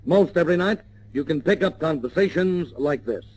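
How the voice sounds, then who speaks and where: A man's voice: a little high, expressive, normal speed, a little mellow, breathy edge, poor audio. An American man reading scripted promotional narration in the style of the late 1950s.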